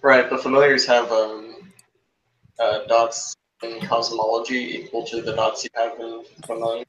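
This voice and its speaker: A man's voice: mid-range voice, little pitch movement, measured pace, a bit bright. Male game master, plain mid voice, roomy echo, rules-focused narration. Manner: matter-of-fact rules talk. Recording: echoey room.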